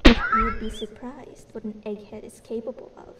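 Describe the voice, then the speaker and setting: A woman's voice: a little high, huge pitch swings, moderate pace, heavy background noise. A woman playing a young pony princess, playful and bookish, in an amateur audio drama. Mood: teasing. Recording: echoey, distant home mic under loud music.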